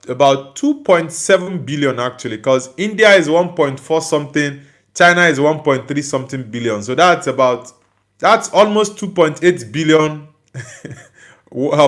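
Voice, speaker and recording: A man's voice: a little high, a bit bright. Nigerian man reading live chat comments. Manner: reads viewer comments aloud and answers each one; friendly, often asks for likes and subscribes. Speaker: livestream host reading chat.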